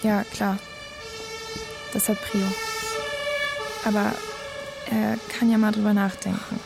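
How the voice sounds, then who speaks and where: An actress playing a cool, pragmatic young activist in an audio drama, laid-back and slangy with a creaky voice. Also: female voice, medium pitch, flat delivery, slow, heavy background noise.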